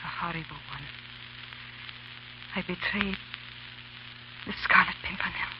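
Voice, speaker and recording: An actress playing a proud, impassioned young wife of an English lord in 1930s radio drama, pleading and declaiming. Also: female voice, on the low side, unhurried, natural voice. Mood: remorseful. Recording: dull, hissy, crackling 1930s radio recording.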